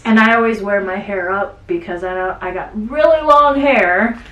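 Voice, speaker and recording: A woman co-hosting a podcast, talking in a rambling, animated way with a stuffy nose. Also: mid-range voice, normal speed. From central Wisconsin. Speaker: podcast co-host. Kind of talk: casual chat. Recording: roomy sound, mic at a distance.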